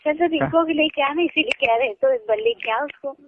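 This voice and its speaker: A woman's voice: sits high, fairly quick, noisy background. A woman chatting casually on a phone call, answering in brief, plain replies. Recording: tinny phone-line call recording.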